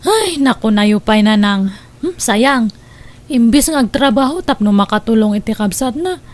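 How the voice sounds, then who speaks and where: A woman's voice: fairly high pitch, animated, normal speed, a little mellow, natural voice. A woman acting as an inquisitive, chatty young confidante in an audio drama, speaking with an Ilocano accent. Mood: gossipy.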